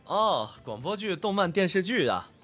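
A man's voice: high-pitched, sing-song, moderate pace, fairly bright tone, soft background music. A man with a nasal, stuffed-up voice, talking casually as a guest voice actor, modest and thinking aloud as he explains his work. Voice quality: nasal from a cold. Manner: modest, self-deprecating, thinks aloud with repetitions. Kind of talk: casual interview answers, explaining dubbing work.

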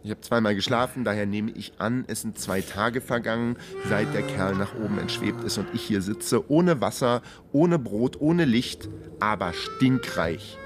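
A low male voice performed by an actor tells his own story in a radio crime drama, over prominent music. He is a trapped man musing with dry self-mockery and a matter-of-fact manner. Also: measured pace, close to an everyday voice. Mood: wry, resigned. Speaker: narrator and lead character. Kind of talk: radio crime drama first-person narration.

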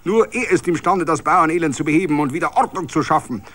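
A man voicing a uniformed Nazi agitator preaching loudly at a village rally in a radio story. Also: on the high side, expressive, fast talker, voice pushed up. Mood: fervent agitation. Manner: loud, declamatory preaching.

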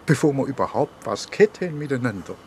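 A folksy small-town inspector. His medium-pitched speech swings animatedly, eager and affectionate, in a radio drama with some ambient noise behind. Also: very animated, a little fast, darkish tone. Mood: bashful teasing.

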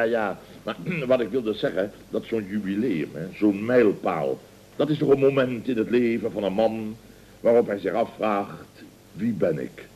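An actor playing a pompous, self-satisfied middle-aged contractor boss in radio comedy, blustering and repeating himself with rhetorical questions. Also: male voice, medium pitch. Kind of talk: 1970s Dutch radio comedy acting. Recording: dull old radio recording.

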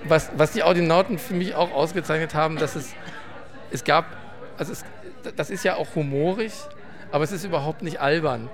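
Male host, slightly high voice, hesitant live chat, busy crowd behind. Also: fairly quick, vocal fry. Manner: hesitant, searching for words, many restarts. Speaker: radio host. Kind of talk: casual radio talk with guests.

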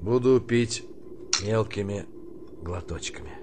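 A man playing an older army colonel, gruff, commanding and sardonic, in a radio drama. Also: fairly low pitch, slow, warm tone, a little hiss or hum. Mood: mock-courtly, teasing. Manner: commanding, mock-courtly, sardonic. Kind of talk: theatrical radio drama acting.

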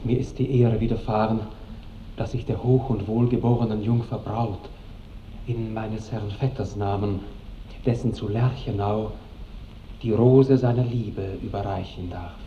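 A man's voice: fairly low pitch, fairly even intonation, measured pace, natural voice. A man playing a bashful, chivalrous seventeen-year-old count in a radio play, courtly and ardent. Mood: solemn shyness. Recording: muffled, echoey 1960s radio with noise.

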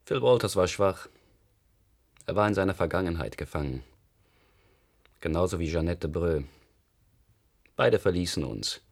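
Deep-voiced, cold faction leader. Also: male voice, lively intonation, a little slow, gravelly, pauses often, exaggerated voice. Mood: cold, imperious. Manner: terse, commanding, unemotional. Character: cold, threatening male leader of a powerful faction. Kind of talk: German radio drama acting.

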